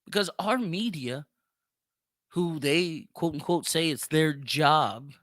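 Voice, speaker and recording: A man's voice: fairly high pitch, animated, slow pace, fairly bright tone, dry recording, studio quality. A man hosting a podcast of opinionated solo commentary, speaking emphatically with plenty of swearing and repeating himself for emphasis. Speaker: podcast host.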